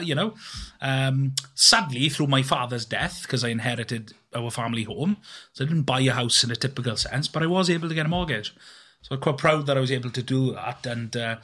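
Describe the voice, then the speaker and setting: A man's voice: mid-range voice, bright, forceful tone. A man in his thirties talking earnestly about his own business as an interview guest, with many fillers and restarts. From Wales. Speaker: guest, filmmaker and business owner. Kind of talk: conversational interview.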